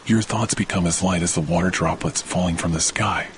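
A man hosting a guided sleep meditation, speaking in a slow, soothing way with long pauses. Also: low voice, steady tone, slight background noise. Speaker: podcast host and meditation guide. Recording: loud rain and thunder behind voice. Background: rain and thunder sounds.

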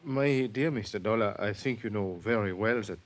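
An actor playing a polite, cautious psychiatrist with a Viennese accent, measured and hesitant, in 1950s radio drama style. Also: male voice, on the low side, lightly acted. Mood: measured, guarded.

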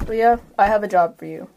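A woman's voice: mid-range voice, vocal fry, darkish tone, low-quality recording. A woman talking casually as a player in a tabletop game, informal and assertive.

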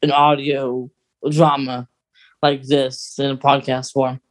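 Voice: medium pitch, level tone, slow. A podcast co-host chatting casually and emphatically about opinions, in an informal conversational way. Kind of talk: casual chat, opinionated film and radio drama discussion.

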